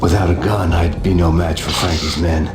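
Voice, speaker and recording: An actor playing a brooding, hard-boiled man narrating a crime game in a weary deadpan. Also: sits low, moderate pace, lightly acted. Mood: grim, wry. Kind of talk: scripted noir narration. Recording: roomy reverb, music behind.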